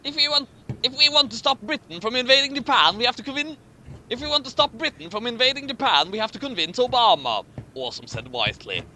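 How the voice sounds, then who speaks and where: Male host, bright high voice, comic dramatic reading with casual asides, incredulous emphasis. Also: expressive, quick pace, a little hiss or hum. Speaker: commentary show host reading a fan fiction aloud.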